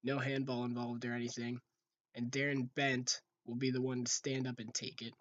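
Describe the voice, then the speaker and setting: A young man with a hoarse, fading voice, chatting casually to viewers over football game footage from a room at home. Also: mid-range voice, flat delivery, normal speed, a bit bright, dull audio. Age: young adult. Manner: chatty, talks straight to viewers as "guys". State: hoarse, losing his voice. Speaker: gaming video creator. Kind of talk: casual gameplay commentary.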